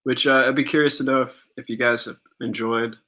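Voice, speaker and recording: Bright-voiced young man telling a story. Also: mid-range voice. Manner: relaxed, recounts stories with fillers and pauses. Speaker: podcast co-host. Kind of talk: casual live-stream chat and storytelling.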